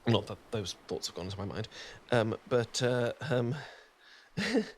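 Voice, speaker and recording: A man's voice: fairly low pitch, lively intonation, a little slow, a little hiss or hum. Guy doing a sunburnt british dork in an asmr roleplay, stammers a lot, keeps putting himself down, nervous little laughs. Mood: flustered. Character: awkward sunburned young British man, sweet and flustered. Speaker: ASMR performer.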